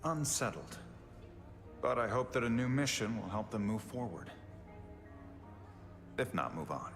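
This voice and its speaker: A man playing an earnest, weary starship officer in a video game, speaking in a measured, sincere, formal way. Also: medium pitch, very animated. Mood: grave. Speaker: Starfleet officer character in a game. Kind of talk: cinematic video game dialogue. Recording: game audio with prominent music underneath.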